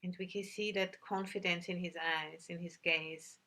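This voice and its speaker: A woman teaching a guided meditation, giving gentle instructions with long pauses between phrases. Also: fairly low pitch, fairly even intonation.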